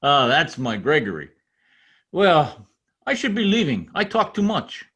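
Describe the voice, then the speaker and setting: A man acts a flustered, excitable chatterer in an old-time radio comedy. The voice is very high and bright, drawn out and swooping. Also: unhurried. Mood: flustered, giddy. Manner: giggly, flustered, talkative. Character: flustered, excitable, chattering small-town visitor. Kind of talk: 1940s radio comedy acting, recreated.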